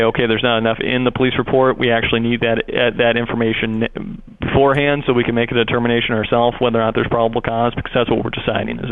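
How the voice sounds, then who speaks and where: A man speaking as a prosecutor on a phone call, explaining procedure in a wordy, hesitant way. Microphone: telephone call. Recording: muffled low-bandwidth phone line.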